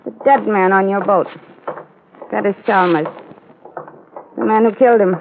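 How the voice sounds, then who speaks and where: An actress as a cool enigmatic femme fatale, vintage radio. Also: female voice, medium pitch, vocal fry, narrow, tinny audio, background noise, natural voice. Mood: cool, knowing. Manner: measured, cryptic, formal phrasing. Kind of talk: 1940s-50s radio drama acting. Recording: thin old radio recording, faint music.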